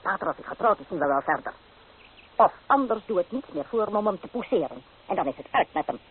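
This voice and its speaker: An actress playing a domineering, scolding old grandmother with a sharp tongue in a radio play. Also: medium pitch, big pitch swings, quick pace, slightly rough. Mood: scolding, imperious. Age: older. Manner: commanding, sharp-tongued, sarcastic. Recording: tinny, band-limited old radio play.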